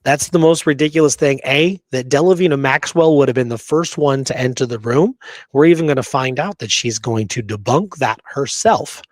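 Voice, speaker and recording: A man's voice: medium pitch, normal speed, no echo. Emphatic man discussing history, dry close sound. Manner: emphatic, opinionated, repeats points for emphasis. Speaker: podcast host explaining frontier history.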